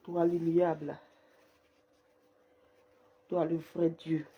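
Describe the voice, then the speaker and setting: A woman leading a live-streamed morning prayer with fervent, repetitive invocations, her voice hoarse from a cold. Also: low-pitched, little pitch movement, drawn-out words, warm tone, a little hiss or hum. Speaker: prayer leader.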